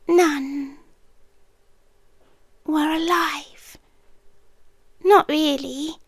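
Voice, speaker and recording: A woman's voice: high voice, no echo, studio quality, exaggerated voice. A British woman playing a centuries-old possessive monster lover in an ASMR roleplay, coaxing and sweetly menacing, often slipping into a whisper. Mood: soft, possessive. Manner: slow, hushed, coaxing, often drops into whispers.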